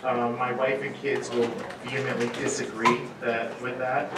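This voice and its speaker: Male host, middle-aged, wry and halting conference introduction, distant with clear background noise. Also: medium pitch, flat delivery. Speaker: event organizer introducing a speaker. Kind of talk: informal spoken introduction at a conference. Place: auditorium stage at a podium. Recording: echoey hall, distant, noisy room sound.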